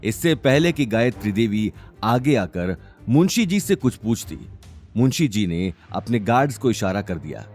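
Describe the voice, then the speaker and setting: A man's voice: on the low side, very animated, unhurried, darkish tone, faint music behind. A man narrating a scripted audio story in a dramatic style.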